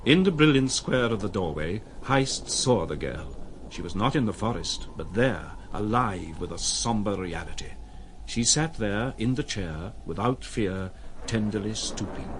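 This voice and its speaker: A man reads literary narration in a low voice. His delivery is solemn, measured and dramatic, with faint noise underneath. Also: animated.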